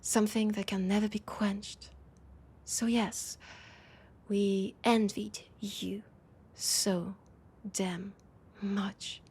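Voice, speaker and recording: A woman with a French accent voicing a weary, wry female vampire in an intimate audio roleplay, speaking in a breathy, hesitant, confiding way. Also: medium pitch, little pitch movement, very slow, a little mellow, full character voice. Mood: envious, intense. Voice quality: breathy, husky.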